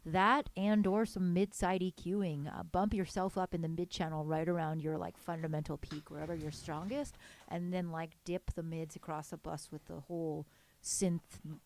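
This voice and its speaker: A young woman with a low voice, casually giving detailed, enthusiastic music mixing feedback on a live stream. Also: steady tone, moderate pace. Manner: enthusiastic, detailed mixing tips with many fillers. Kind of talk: casual technical feedback chat.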